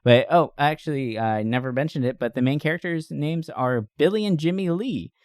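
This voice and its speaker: A man's voice: fairly high pitch, brisk, a little mellow, dry recording. Casual, enthusiastic man chatting, dry close sound. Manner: enthusiastic, informal, swears casually. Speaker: podcast co-host. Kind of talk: casual chat about movies.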